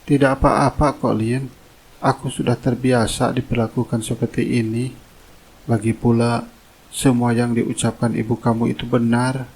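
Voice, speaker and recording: A man's voice: medium pitch, flat delivery, normal speed, creaky, a little mellow, husky. A man playing a humble, self-effacing poor young labourer in a cartoon drama, polite and subdued. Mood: humble, resigned.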